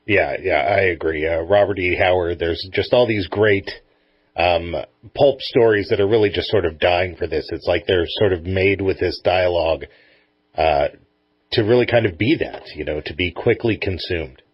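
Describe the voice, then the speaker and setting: A man's voice: low-pitched, normal speed, husky, no echo, highs cut off. A man chatting casually as the interviewer on a talk show. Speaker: interviewer, host.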